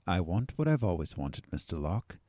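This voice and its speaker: Ambitious doctor schemer in audio drama, low voice, pointed and sure of himself, dry recording. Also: male voice, big pitch swings, warm tone, no room sound. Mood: assertive bargaining. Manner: measured, pointed, self-assured. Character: ambitious, self-possessed scheming doctor bargaining with villains.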